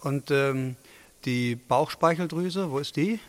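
A man's voice: on the high side, takes it slowly, no room sound. An actor playing an introverted, tidy, mild-mannered man in a naturalistic radio drama, speaking hesitantly. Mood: subdued, hesitant.

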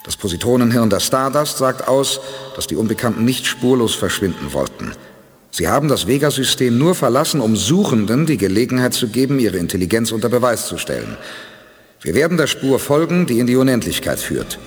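A man's voice: medium pitch, big pitch swings, fairly quick, long reverb tail. An actor playing a determined male spaceship commander, speaking firmly and resolutely in a radio play. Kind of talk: 1980s radio play acting.

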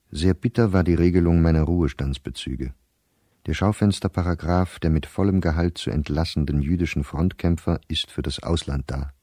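Radio play: embittered, anxious diarist professor, measured delivery, dark mellow tone, close dry sound. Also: male voice, rumbling low, husky, no room sound, heavily acted. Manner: measured, weary, dropping to near-whisper at grim thoughts. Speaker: diarist narrator. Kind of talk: literary radio play monologue, diary reading.

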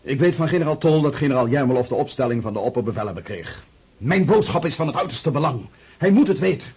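A man's voice: medium pitch, expressive, quick pace, darkish tone, husky. A man acting an urgent, flustered military aide-de-camp in a radio play, insistent and clipped. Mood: urgent, indignant.

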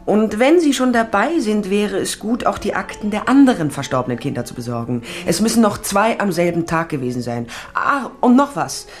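A woman's voice: medium pitch, sing-song, moderate pace, few pauses, faint music behind, natural voice. An actress playing a tough, wry female private investigator in a German radio crime drama, blunt and dry. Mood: brisk, pressing. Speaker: narrator and lead actress.

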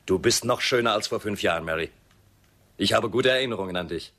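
An actor playing a suave, grandiose, mocking builder with theatrical, ironic delivery in a radio drama. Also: male voice, fairly low pitch, brisk, sharp tone. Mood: ironic charm. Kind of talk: German radio drama acting.

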